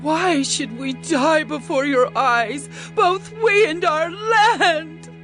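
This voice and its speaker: A woman's voice: sits high, slow, a bit bright, soft background music. An actress playing a desperate famine-stricken Egyptian woman in a Bible audio drama, pleading tearfully. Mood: tearful despair.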